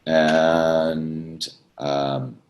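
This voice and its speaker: A man's voice: a bass voice, flat delivery, drawn-out words, a little mellow, muffled recording. A man hosting a casual group video call, informal and appreciative in how he talks. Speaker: host moderating a talkback.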